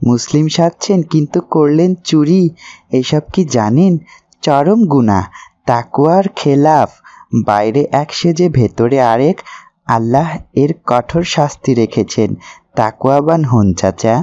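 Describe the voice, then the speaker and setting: A man's voice: medium pitch, expressive, a little slow, warm tone, lo-fi sound, natural voice. A man voicing an earnest, pious young man in a moral cartoon, speaking didactically. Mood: stern, reproachful. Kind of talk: cartoon voice acting, moral preaching.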